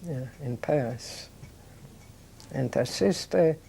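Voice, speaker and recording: An older woman, recalling her childhood in an oral history interview, talking in a halting, reflective way with many restarts. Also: a bass voice, creaky, mellow, a little hiss or hum. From Poland. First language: Yiddish. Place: indoors, seated on a sofa.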